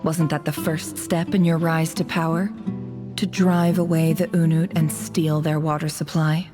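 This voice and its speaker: A woman voicing a shrewd, composed young envoy in a video game, with a measured, calculating, smooth-talking manner. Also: deep voice, little pitch movement. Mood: firm, cool. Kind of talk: game cutscene voice acting. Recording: music under the voice. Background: music.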